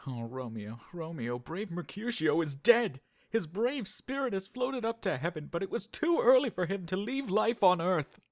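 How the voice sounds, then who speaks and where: A man's voice: high-pitched, expressive, moderate pace, few pauses, no echo, studio quality. An actor playing a loyal, earnest young nobleman with a dramatic delivery in a modernized Shakespeare audio drama. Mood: distraught.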